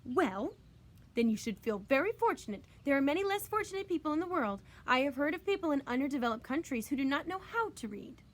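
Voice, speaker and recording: A woman's voice: high voice, big pitch swings, brisk, pitched above the performer's own voice. A woman puts on an impatient storybook father. He scolds in a pointed, pompous way, stern with everyone around him.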